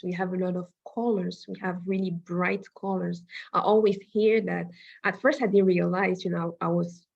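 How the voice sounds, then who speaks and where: A woman from Cameroon, giving measured, thoughtful interview answers as an interior designer. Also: lowish voice, creaky. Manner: measured and thoughtful, pauses to find words. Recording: dull lo-fi remote audio.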